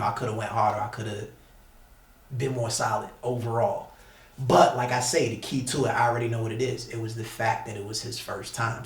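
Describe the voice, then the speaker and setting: Echoey, slangy man telling stories. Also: mid-range voice. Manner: slangy, repetitive, emphatic. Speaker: interview guest, battle rapper. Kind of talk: casual storytelling in an interview. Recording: echoey room, distant mic.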